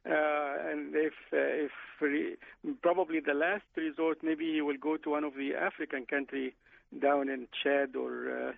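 Radio guest from Egypt on a phone line, gravelly, slightly high voice, lots of uh's. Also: male voice, flat delivery, no echo. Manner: halting, many "uh" fillers and restarts. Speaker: guest economics professor.

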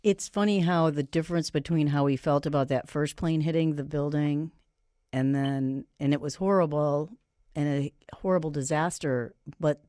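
Mellow-voiced woman hosting call-in radio. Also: deep voice, no room sound, clean studio sound. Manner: brisk, takes calls and reads contact details. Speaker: radio talk show host.

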